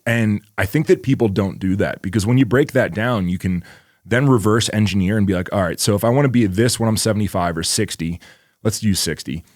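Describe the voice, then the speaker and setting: Deep-voiced man, casual podcast rambling. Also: brisk, dry recording, clean studio sound. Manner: rambling, swears freely, many "like" fillers. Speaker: podcast co-host. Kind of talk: casual chat.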